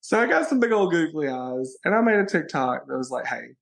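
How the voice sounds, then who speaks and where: Gravelly, playful man. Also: fairly high pitch, sing-song, brisk, a bit bright. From Alabama, USA. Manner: playful, bubbly, laughs often. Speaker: guest, social media personality. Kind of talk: casual interview chat.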